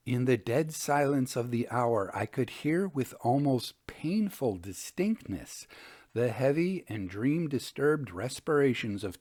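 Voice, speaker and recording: A man narrates a Victorian ghost story in a voice of middling pitch. His delivery is formal and measured, like scripted storytelling, yet highly animated, with wide rises and falls in pitch for dramatic effect.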